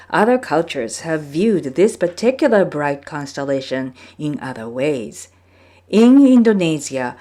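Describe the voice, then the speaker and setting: A woman reading from a folktale book as a storyteller, with measured, deliberate phrasing. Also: sits low, a little mellow. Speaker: storyteller, reader. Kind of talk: scripted narration of a folktale book.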